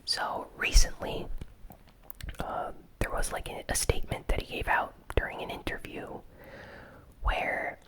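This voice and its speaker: A host whispering a gaming news recap in a soft, unhurried ASMR style. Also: rough recording.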